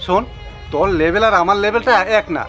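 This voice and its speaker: An actor playing an arrogant, scolding officer who looks down on villagers, in a Bangladeshi accent. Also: male voice, sits high, fast talker, pushed and bright, soft background music. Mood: threatening, scornful. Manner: haughty, scolding. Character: arrogant city officer scorning his village friend. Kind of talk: Bangla short-film drama acting.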